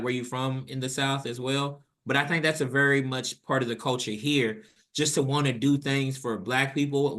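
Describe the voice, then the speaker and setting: A man's voice: mid-range voice, sharp tone. A man from Louisville hosting a podcast, asking questions in a laid-back, teasing way and laughing often. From Louisville, Kentucky. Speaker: podcast host. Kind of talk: casual conversation.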